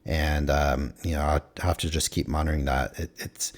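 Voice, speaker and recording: Very deep-voiced, husky man. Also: steady tone, normal speed. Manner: rambling, many fillers and restarts. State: losing his voice, clearing throat. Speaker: futures trader reviewing his trades. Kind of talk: casual screen-recorded trade review.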